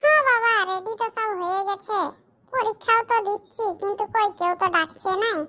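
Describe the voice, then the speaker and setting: A performer voicing a dutiful young village son in a comedy cartoon, with a shrill pitched-up voice. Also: brisk, pushed and bright. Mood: agreeable.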